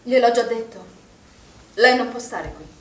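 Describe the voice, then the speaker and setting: A woman's voice: on the high side, animated, unhurried. An actress playing a firm, defensive woman manager, curt and formal, in a stage drama. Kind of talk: stage theatre acting. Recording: echoey room, distant mic, background noise.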